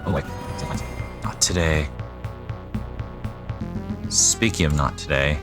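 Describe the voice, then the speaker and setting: A man's voice: a bass voice, steady tone, slow, music in the background. A young man casually commenting while playing a retro role-playing game, musing aloud with wry asides and reading the game text. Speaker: gaming channel host. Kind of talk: casual let's play commentary.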